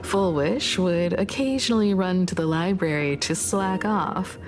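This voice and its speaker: Actress as a lowish-voiced, poised scholarly woman. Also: over music, lightly acted. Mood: wistful, reflective. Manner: measured, articulate, reflective. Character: poised, thoughtful, gentle scholarly young woman. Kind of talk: video game story dialogue.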